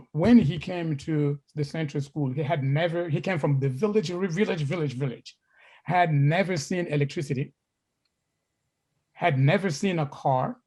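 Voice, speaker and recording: A man's voice: on the high side, darkish tone. Man lecturing in a storytelling way. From Congo. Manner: repeats words, many restarts.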